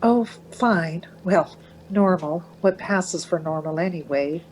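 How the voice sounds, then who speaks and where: A woman's voice: fairly low pitch, unhurried, darkish tone, a little hiss or hum, lightly acted, low-quality recording. An actress playing a wry, anxious middle-aged mother of teenagers in a radio play. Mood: wry, weary.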